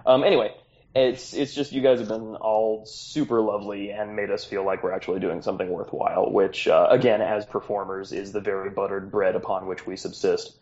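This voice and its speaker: A man's voice: on the low side, flat delivery, a little fast, a little mellow, muffled recording. A man hosting a tabletop game podcast as game master, narrating scenes with jokey asides.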